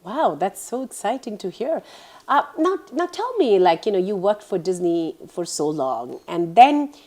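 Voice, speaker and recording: A woman's voice: mid-range voice, sing-song, measured pace, darkish tone. A woman hosting an interview, asking curious, encouraging questions. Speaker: interview host. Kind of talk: casual interview.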